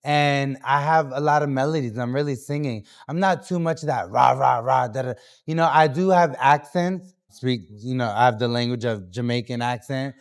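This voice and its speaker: Smooth-voiced man, chatty podcast guest. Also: medium pitch. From New Hampshire. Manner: loose, upbeat, many fillers and restarts. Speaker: guest artist. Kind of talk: casual podcast interview.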